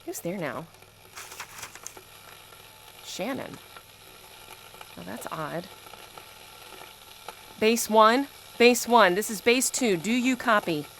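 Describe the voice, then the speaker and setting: A female night-shift operator at a reactor base in an audio drama. Her voice sits fairly high, anxious and dutiful as she works through radio call-outs. There is noticeable noise behind her. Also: fairly bright tone, full character voice, rough recording. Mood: puzzled, uneasy. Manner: radio-procedure call-outs, increasingly urgent.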